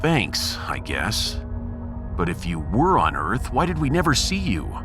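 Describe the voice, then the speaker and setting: A wry human starship captain played by a man, blunt and irreverent. His low voice moves expressively in a theatrical sci-fi drama style, with music behind it. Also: wildly swooping pitch, a little slow. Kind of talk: sci-fi audiobook drama acting.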